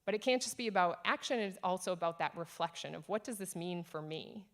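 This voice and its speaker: Energetic woman giving a conference talk. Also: lowish voice, brisk. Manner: energetic, earnest, encouraging. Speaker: conference speaker. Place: conference stage.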